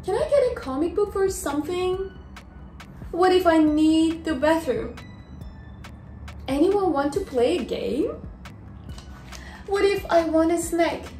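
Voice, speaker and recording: A woman voicing an impatient, excitable young caterpillar in a children's storybook, with whiny, eager exclamations. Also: very high-pitched, slow pace, long pauses, faint music behind, exaggerated voice. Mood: restless, whiny. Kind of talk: children's storybook read-aloud. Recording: roomy echo.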